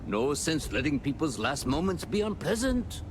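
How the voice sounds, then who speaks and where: An actor playing a courteous, genial space pirate with a mercenary streak in a video game, speaking in a courtly, expressive way. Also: male voice, fairly high pitch, normal speed, noisy background. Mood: genial, sly.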